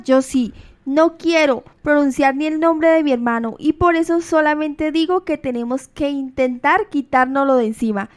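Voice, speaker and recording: A woman's voice: high voice, lightly acted. A woman acting as a desperate, resolute young sister in an amateur radio drama, speaking emphatically and pleadingly. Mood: desperate, insistent.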